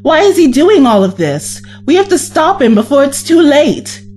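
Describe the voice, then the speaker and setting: High, animated, earnest woman actor. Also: normal speed, soft background music. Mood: earnest, determined. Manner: urgent, earnest, emotional. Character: earnest, principled former female companion of time traveler. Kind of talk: science-fiction audio drama acting.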